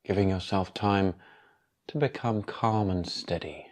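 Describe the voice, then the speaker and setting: Male meditation guide, lowish voice, calm and unhurried, leaving long pauses between instructions. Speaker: yoga nidra meditation guide.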